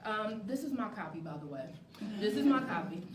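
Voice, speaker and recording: A woman's voice: medium pitch, sing-song, fairly quick. A woman hosting a live talk show and interviewing guests. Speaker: show host. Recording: echoey, distant mic, faint noise.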